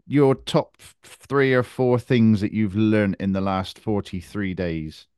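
A man hosting a casual podcast, with a smooth, resonant voice heard up close in a dry, dead space. He keeps things brisk and encouraging as he steers the conversation. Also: lowish voice, fairly even intonation, a little mellow, no room sound. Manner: brisk, encouraging, moves the talk along. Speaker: podcast host.

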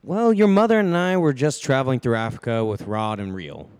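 A man's voice: medium pitch, expressive, fairly quick, darkish tone, smooth, lightly acted. A man playing a dismissive, firm husband and father in a radio play. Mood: firm, probing.

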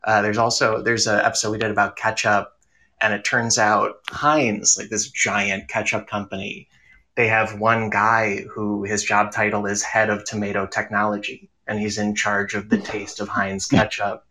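Bright-voiced, halting male podcast panelist. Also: fairly low pitch, monotone, poor audio. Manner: halting, enthusiastic. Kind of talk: casual panel discussion.

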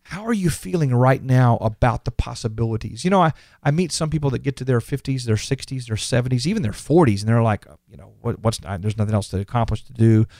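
A man's voice: mid-range voice, fast talker, hardly any pauses, a little mellow, dry recording. Earnest, emphatic fifty-year-old man, dry studio sound. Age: 50s. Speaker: podcast host. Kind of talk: reflective motivational podcast monologue.

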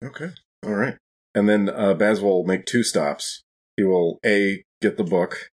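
Man, tabletop player, very low pitch, husky rough voice, casual character narration. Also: measured pace.

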